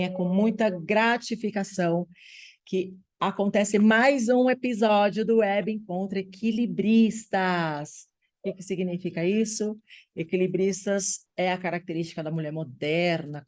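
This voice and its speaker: A Brazilian woman hosts an online meeting over a video call. Her voice sits at an average pitch. She speaks in an upbeat, encouraging way and keeps checking in with her listeners. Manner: upbeat, warm-sounding through her words, drops in frequent "tá bom?" check-ins. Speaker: host of an online women's group meeting. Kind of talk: lively welcoming online meeting talk.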